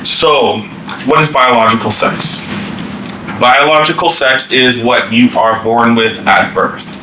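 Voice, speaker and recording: Male workshop presenter, bright voice, loose informal delivery, picked up from a distance in an echoey room. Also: medium pitch, slight background noise. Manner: informal, many fillers and restarts. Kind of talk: staff training presentation. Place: meeting room.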